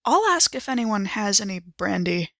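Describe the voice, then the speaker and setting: A woman plays a tabletop story game, describing her actions in a casual way. Her voice is bright with noticeable fry. The sound is dry and close. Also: medium pitch, big pitch swings, normal speed, no room sound. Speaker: player.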